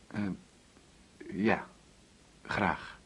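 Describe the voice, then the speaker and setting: An actor playing a polite, deferential young man in a radio play, speaking in a halting, reserved way. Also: fairly bright tone. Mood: awkward, grateful. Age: young adult. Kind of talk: Dutch radio play acting.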